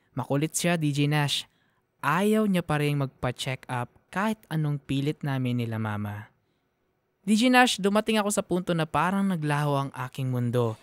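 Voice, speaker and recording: Heartfelt man narrating, slightly high voice. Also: smooth, no echo. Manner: heartfelt, emotional. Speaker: narrator of a radio drama. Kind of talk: dramatic scripted first-person narration.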